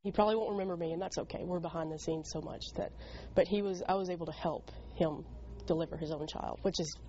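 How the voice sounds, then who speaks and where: American female emergency dispatcher, rapid delivery, slightly low pitch, faintly noisy, muted sound. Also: fairly even intonation, mellow, lo-fi sound. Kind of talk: scripted call-taking and interview talk.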